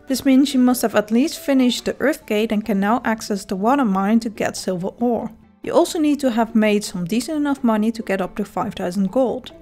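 A woman's voice: fairly high pitch, darkish tone. A woman with a Dutch accent, a native Dutch speaker, narrating a scripted game guide with dry, self-mocking humor.

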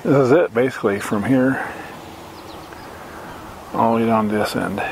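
A man from Michigan casually narrating a camping trip outdoors, relaxed and rambling with lots of uhs, recorded on a handheld camera. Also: medium pitch, normal speed, vocal fry, slight background noise. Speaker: RV camping vlogger. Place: outdoors at a lakeside campground.

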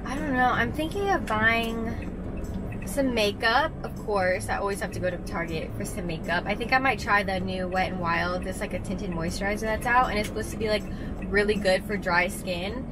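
A woman's voice: mid-range voice, moderate pace, noisy background. A young woman in a casual, upbeat vlog, chatting from inside her car, filling her talk with "like". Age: young adult. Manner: chatty, upbeat, frequent "like" fillers. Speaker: vlogger. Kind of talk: casual drive-along vlog chat. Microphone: camera on the dashboard.

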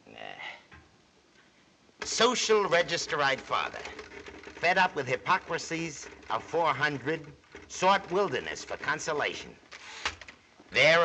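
Cynical film press agent, piercing bright voice, wildly swooping pitch, florid clipped delivery, hissy old soundtrack. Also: male voice, exaggerated voice. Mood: florid, dictating. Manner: theatrical, dictating in clipped phrases. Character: brash cynical studio press agent inventing florid biographies. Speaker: actor. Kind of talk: 1930s film comedy acting.

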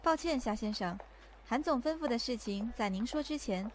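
Woman acting polite, high-voiced secretary. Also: expressive, moderate pace, close to an everyday voice. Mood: polite, firm. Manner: polite, formal. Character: polite, composed female secretary, firm and slightly amused. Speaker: voice actor in an amateur audio drama. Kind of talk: acted audio drama dialogue. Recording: faint ambient noise.

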